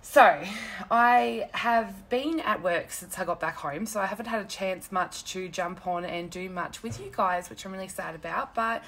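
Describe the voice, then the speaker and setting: A young woman with a croaky, sore-throated voice, chatting casually with viewers while she hosts a crafting live stream from her desk at home, laughing often and greeting commenters by name. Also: mid-range voice, normal speed, a bit bright. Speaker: paper-craft demonstrator hosting a live stream. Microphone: phone camera.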